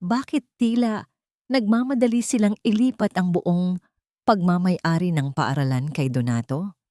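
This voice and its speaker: A Filipino woman narrates a dramatic audiobook story. Her voice sits fairly low, and the recording is dry and close, with little room sound. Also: unhurried, warm tone, no echo.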